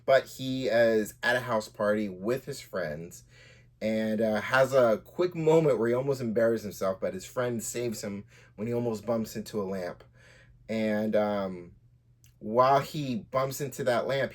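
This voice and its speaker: A man with a fairly low voice hosts a story podcast. He talks casually as a writer presenting his own work, somewhere between chatting and reading aloud.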